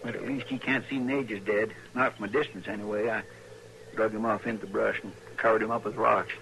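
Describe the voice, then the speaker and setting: An actor playing a loyal, stubborn frontier deputy with a rural Western American accent and folksy, ungrammatical talk, in an old-time radio western. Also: male voice, lowish voice, steady tone, brisk, vocal fry. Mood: earnest, plain. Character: loyal, stubborn frontier deputy, plain-spoken country man. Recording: noisy vintage radio drama recording.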